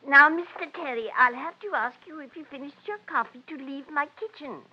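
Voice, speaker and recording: A woman's voice: sits high, fairly quick, slight background noise, natural voice. A woman playing a plain-spoken household cook in a radio drama, indulgent but firm and bustling. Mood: firm, flustered. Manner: bustling, deferential yet firm. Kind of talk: 1940s-50s radio drama acting. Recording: dull old radio broadcast.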